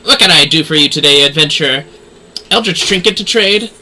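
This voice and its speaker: A man's voice: on the high side, fairly quick, sharp tone. A man voicing a brash, shrewd female merchant in a video game, with a mocking, salty manner. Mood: mocking, brisk.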